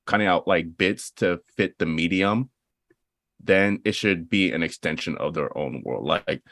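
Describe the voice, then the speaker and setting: Very low-voiced man, dry sound. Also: fairly bright tone, no echo. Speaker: podcast panelist. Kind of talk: casual opinion chat.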